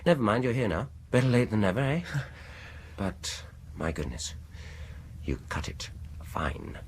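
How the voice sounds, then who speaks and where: An actor playing a probing, falsely polite British dentist in a radio horror drama, with a husky voice and an insinuating, deliberate manner. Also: male voice, on the low side, big pitch swings, slight background noise. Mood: quietly probing. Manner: deliberate, insinuating, falsely soothing, chuckling at his own remarks.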